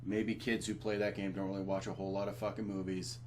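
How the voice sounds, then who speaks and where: A man with a very low, rather dark voice chats casually as a podcast co-host. His phrasing is hesitant and halting, and a faint hum sits under the recording. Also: level tone. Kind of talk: casual chat.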